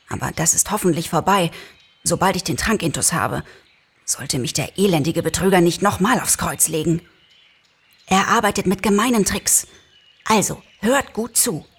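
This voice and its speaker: A man voicing a shrewd, bargaining male fox in a storybook reading, speaking in a husky, urgent and conspiratorial way. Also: sits high, pitched above the performer's own voice, heavily acted.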